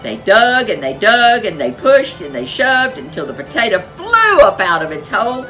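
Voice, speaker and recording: A woman reading a folk tale aloud as a storyteller, expressive and animated. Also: mid-range voice, moderate pace, faint music behind. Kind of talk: storybook read-aloud narration.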